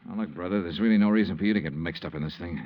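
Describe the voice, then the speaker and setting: An actor playing a tough, sardonic insurance investigator in a golden-age radio detective drama. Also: male voice, low-pitched, rapid-fire, loud music behind the voice, natural voice. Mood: tense, urgent. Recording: muffled, noisy vintage radio recording.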